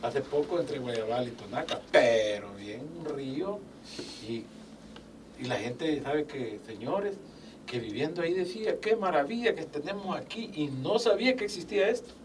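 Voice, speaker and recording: An older man, a business owner telling his life story as a guest in a casual interview. He speaks with animated, faith-filled phrasing and acts out quoted dialogue. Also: a little high, moderate pace. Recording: distant mic with faint background noise.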